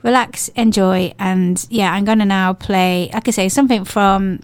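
A woman with an English accent presenting a radio show, her voice croaky and hoarse from a cold, chatting in a gushing, rambling way. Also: medium pitch, fairly even intonation, moderate pace, no room sound. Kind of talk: casual radio chat.

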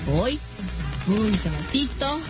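A woman's voice: medium pitch, big pitch swings, slow pace, music in the background. A woman hosting a comic radio show, talking in a coy, flirty way full of cute diminutives. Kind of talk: playful comic radio banter.

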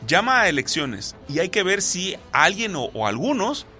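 Animated man with a bright voice. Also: sits high, moderate pace, soft background music. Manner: animated, explains at length, many fillers and restarts. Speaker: sports talk co-host. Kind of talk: casual football chat.

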